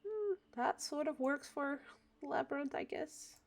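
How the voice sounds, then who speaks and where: A woman's voice: high-pitched, a little slow, a little hiss or hum. Chatty woman streaming a game. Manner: chatty and opinionated, muttering to herself as she builds. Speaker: livestreamer. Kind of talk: casual chat while playing a building game.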